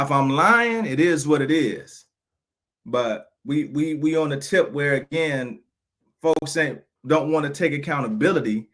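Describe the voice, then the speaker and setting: A man's voice: mid-range voice, a bit bright. A man in his thirties giving an unscripted personal monologue, frank and aggrieved, often restarting and repeating phrases. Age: 30s. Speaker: vlogger.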